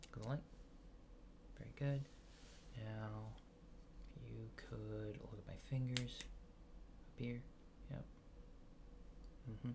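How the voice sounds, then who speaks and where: A man speaking softly in an ASMR exam roleplay, murmuring hesitant short instructions and sometimes dropping into a near-whisper. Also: on the low side, fairly even intonation, takes it slowly, pauses often, background noise. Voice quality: soft, hushed, breathy near-whisper at times. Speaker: ASMR performer playing an examiner.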